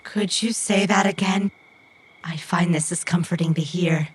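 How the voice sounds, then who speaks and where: A woman playing an earnest robot companion in an audio drama, literal-minded and brave though anxious, with stilted, formal, deliberate phrasing. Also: on the low side, fairly even intonation. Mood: nervous, tender.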